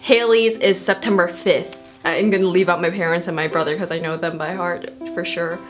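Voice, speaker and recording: A teenage girl answering viewer questions in a casual vlog, bubbly and self-mocking, with quick asides. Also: lowish voice, steady tone. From South Korea. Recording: music playing under the voice. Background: background music.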